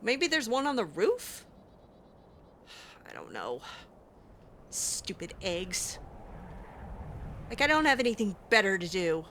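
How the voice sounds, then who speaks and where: A woman's voice: medium pitch, big pitch swings, slow, pauses often, fairly bright tone, a little hiss or hum. A woman playing a game in character, talking in a sarcastic, exasperated, dramatic way. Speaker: let's play host roleplaying her character.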